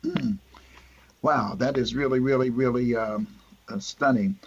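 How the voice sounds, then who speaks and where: A middle-aged man hosting an interview, halting and searching for words as he frames his questions. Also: mid-range voice, animated, vocal fry, slightly rough, muffled recording. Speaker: host.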